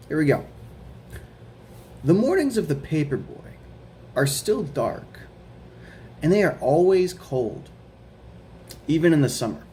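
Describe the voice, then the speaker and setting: A man's voice: fairly high pitch, big pitch swings, slight background noise. A man reading a children's picture book aloud as a guest reader, stopping to ask the young listeners questions. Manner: slow, gentle storybook reading with questions to kids.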